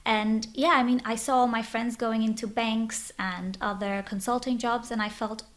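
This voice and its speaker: A woman's voice: a little high, steady tone, normal speed. A woman in her twenties from Bangladesh, a podcast guest telling her story in a bubbly, open way. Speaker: guest, social media influencer. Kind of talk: casual interview chat.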